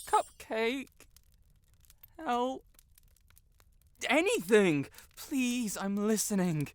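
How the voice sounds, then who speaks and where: A man's voice: very high voice, very animated, drawn-out words, smooth, dry recording, full character voice. A man playing a haughty, wordy young wizard who sputters as he is compelled to honesty, in an audio roleplay addressed to the listener. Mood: frightened, pleading. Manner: pompous, theatrical, wordy, sputtering.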